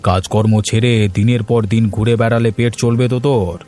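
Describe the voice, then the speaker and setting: A man voicing a gruff, suspicious village elder in a cartoon, scolding and grumbling. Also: mid-range voice, fairly even intonation, a little fast, warm tone, lightly acted. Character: gruff, suspicious village headman and put-upon old fisherman. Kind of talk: cartoon story dialogue.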